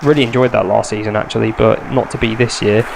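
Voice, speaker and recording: A man's voice: on the low side, fairly even intonation, fast talker, gravelly, background noise. A young man with a nasal, congested voice, chatting wryly through a football management game. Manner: chatty, self-mocking, wry asides. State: congested from a cold. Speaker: gaming youtuber. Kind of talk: casual let's play commentary.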